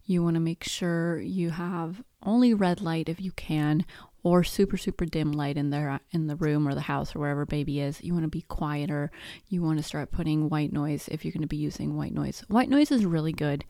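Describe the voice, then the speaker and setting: A woman's voice: low voice, moderate pace, mellow, no room sound, studio quality. A woman in her thirties whose first language is Spanish, hosting a podcast and giving chatty, self-deprecating advice on baby sleep. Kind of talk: casual advice talk.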